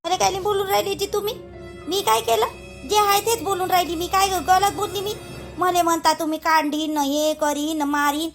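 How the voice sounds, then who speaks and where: A woman playing a sharp-tongued relative who taunts and accuses. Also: a little high, brisk, faint music behind, lightly acted. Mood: defiant. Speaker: actor in a family drama skit.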